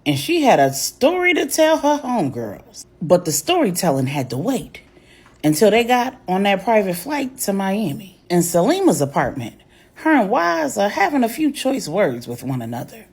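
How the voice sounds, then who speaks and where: Expressive woman storyteller with a husky edge. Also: medium pitch, normal speed. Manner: colloquial, emphatic storytelling. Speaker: storyteller, narrator. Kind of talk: dramatic narrated serial story with acted-out dialogue.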